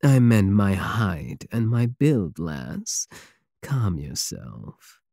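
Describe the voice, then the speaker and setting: Pirate king for ASMR roleplay, male actor: big, possessive, sardonic teaser, unhurried delivery, dry close sound. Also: sits low, very animated, gravelly, dark tone, no echo, exaggerated voice. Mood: amused, seductive. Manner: slow, teasing, purring endearments, sardonic. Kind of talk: intimate ASMR roleplay acting.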